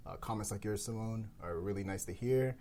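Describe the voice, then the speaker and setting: Woman, podcast host, low-pitched, gravelly fry, casual round table talk. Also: a little fast, warm tone. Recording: faint background noise and room ambience.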